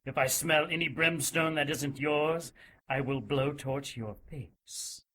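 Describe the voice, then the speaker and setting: Husky, menacing zealot inquisitor. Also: male voice, a little high, a bit bright. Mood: threatening. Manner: menacing, deliberate, mock-polite. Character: cruel zealous religious inquisitor, coldly gloating male torturer. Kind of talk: audio drama acting.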